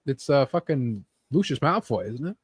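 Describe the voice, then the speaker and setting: A man's voice: medium pitch, big pitch swings, measured pace, slightly rough, no echo. A man co-hosting a casual podcast chat, conversational and a little hesitant, with some swearing. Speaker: podcast co-host. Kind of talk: casual chat about movies and games.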